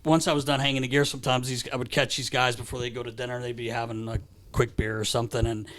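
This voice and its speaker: A man's voice: mid-range voice, little pitch movement, fast, a bit bright. A man co-hosting a podcast, telling stories in a loose, slangy, repetitive way in casual chat. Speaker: podcast co-host. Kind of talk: casual chat, animated storytelling. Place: podcast studio.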